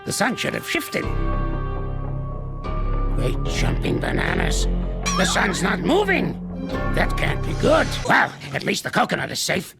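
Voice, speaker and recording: An actor voicing a crotchety old male ape in a cartoon series, barking insults in a gravelly voice. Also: high voice, huge pitch swings, measured pace, pauses often, heavily acted. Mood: puzzled. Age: older. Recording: clip with music behind. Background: music.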